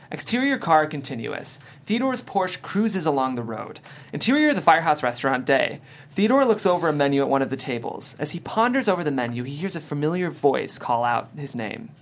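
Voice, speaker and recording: A narrator reads out screenplay action and scene headings. The pitch sits in a middle range and moves up and down a lot as each scripted direction is delivered. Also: sing-song, moderate pace.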